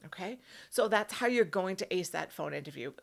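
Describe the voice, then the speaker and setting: A woman's voice: lowish voice, expressive, brisk, creaky. A woman working as a career coach, speaking straight to the viewer in an emphatic, instructional way and often checking in with short tag questions. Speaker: career coach hosting an advice video.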